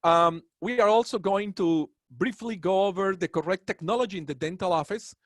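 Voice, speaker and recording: A man's voice: high-pitched, fairly quick, a bit bright, dry recording, studio quality. A man from Colombia with a Colombian Spanish accent giving a webinar lecture on dentistry, earnest and proud, with rambling asides. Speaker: dental professor.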